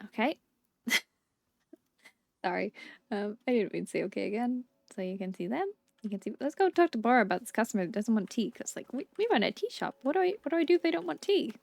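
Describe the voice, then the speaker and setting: A woman giving casual, playful gameplay commentary as a video host, chatty and quick to exclaim. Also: a little high, sing-song, gravelly, no room sound, studio quality.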